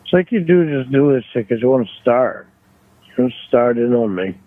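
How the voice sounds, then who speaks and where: A man on a phone call, arguing defensively in a halting, repetitive way. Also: medium pitch, expressive, dry recording. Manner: halting, repeats himself, defensive. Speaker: phone caller. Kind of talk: argumentative private phone call. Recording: tinny, narrow phone line.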